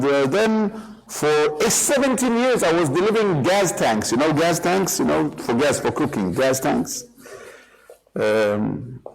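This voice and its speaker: A man leading an interactive workshop for a live group, animated and joking, often repeating and rephrasing himself as he speaks. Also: a little high, poor audio. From Israel. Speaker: workshop speaker and hostage negotiator. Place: live workshop in front of an audience.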